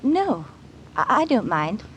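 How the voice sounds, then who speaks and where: An actress playing a genteel, wistful young wife in an old-time radio drama, speaking politely and with some hesitance. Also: female voice, a little high, big pitch swings, slow pace, fairly bright tone, full character voice. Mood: solicitous, hurt. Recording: noisy old radio broadcast recording.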